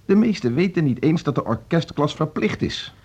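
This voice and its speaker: Husky, animated, indignant male conductor. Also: on the high side, normal speed. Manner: indignant, formal, complaining. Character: exasperated, strict male orchestra conductor. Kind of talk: naturalistic Dutch radio drama acting. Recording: dull radio drama recording.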